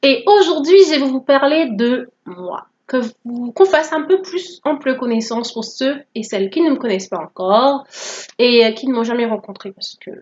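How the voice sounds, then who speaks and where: A woman's voice: high voice, moderate pace, muffled recording. Playful joking woman from Guadeloupe. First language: English. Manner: playful, joking, code-switching. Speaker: vlogger. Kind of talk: casual testimony vlog.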